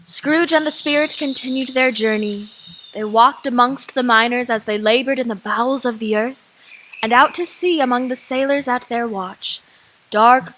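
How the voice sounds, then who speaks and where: A woman narrates a radio drama in a measured, even way. Her voice sits high, with faint clicks in the background. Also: slight background noise, poor audio.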